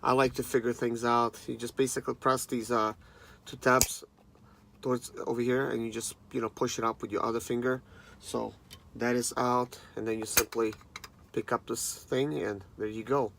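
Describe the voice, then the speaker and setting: A man's voice: mid-range voice, flat delivery, normal speed, gravelly, a bit bright, a little hiss or hum. A man in his thirties chatting casually and informally to viewers as he reviews a product. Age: 30s. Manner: informal, conversational, addresses viewers as guys. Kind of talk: casual unboxing chat to camera.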